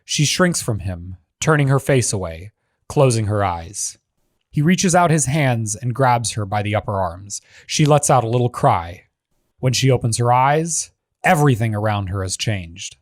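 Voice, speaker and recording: A man's voice: mid-range voice, lively intonation, normal speed, no echo, studio quality. A man giving scripted narration, reading a screenplay's stage directions as the narrator of a table read.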